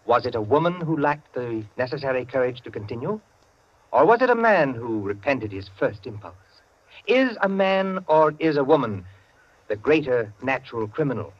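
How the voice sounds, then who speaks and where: A dull, vintage radio recording carries a pompous clubman intellectual. He lectures precisely and argumentatively in a mid-pitched, widely swooping voice, in 1940s radio drama style. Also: sing-song, moderate pace. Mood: pompous, lecturing.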